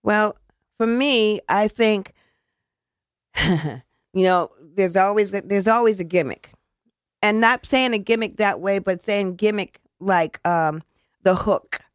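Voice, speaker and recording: A woman's voice: mid-range voice, slow pace, long pauses, no echo, clean studio sound. A woman who organizes a community celebration, talking casually in an interview. She is earnest and rambling, restarts her sentences often, and drops in casual slang.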